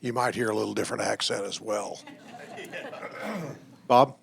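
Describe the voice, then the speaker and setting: A male host moderating audience questions with brisk, dry humor. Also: on the low side, steady tone, vocal fry, a crowd in the background. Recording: audience noise around.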